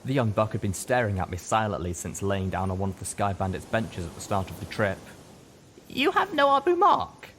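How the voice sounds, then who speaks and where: A man's voice: steady tone, fast, few pauses. A man reading a dramatic piece of fiction as an audiobook narrator, with noise behind his voice. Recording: noticeable background noise with low rumble.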